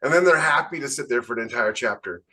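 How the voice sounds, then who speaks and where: Bright-voiced man in casual panel talk. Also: mid-range voice, lively intonation, fast, low-quality recording. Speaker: podcast panelist and author.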